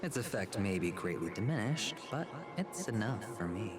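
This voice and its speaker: Over loud music, a smug young high-stakes gambler from a video game. The delivery is sardonic and self-assured, with a lively, expressive pitch. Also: male voice, normal speed. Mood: confident. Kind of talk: dramatic video game voice acting.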